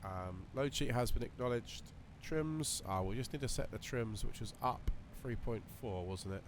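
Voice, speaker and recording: A man with a slightly croaky voice, casually talking through a flight simulator session while thinking aloud with lots of fillers. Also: medium pitch, normal speed, over music. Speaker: flight sim video creator. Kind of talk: relaxed running commentary while flying a simulator. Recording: voice over loud simulator cockpit noise.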